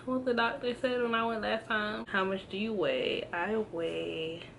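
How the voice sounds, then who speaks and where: A woman in her early twenties chatting casually to camera, answering a list of questions in a relaxed, hesitant way with many pauses and restarts. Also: mid-range voice, vocal fry, slight background noise. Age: 20s. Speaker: vlogger. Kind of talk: casual tag video chat. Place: indoors at home, in front of a backdrop. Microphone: camera framed close, seated.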